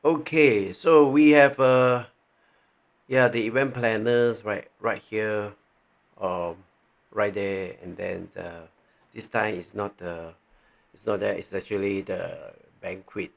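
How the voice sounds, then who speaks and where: A man with a Malaysian accent giving an informal tutorial, thinking aloud haltingly and often checking in with the listener. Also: on the low side, fairly even intonation, gravelly. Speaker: tax training presenter. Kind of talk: informal tutorial walking through on-screen examples.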